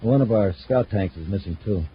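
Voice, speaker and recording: An American actor playing a troubled, plain-spoken construction superintendent in a vintage radio adventure serial. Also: male voice, fairly low pitch, brisk, lightly acted. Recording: muffled, faintly noisy vintage radio recording.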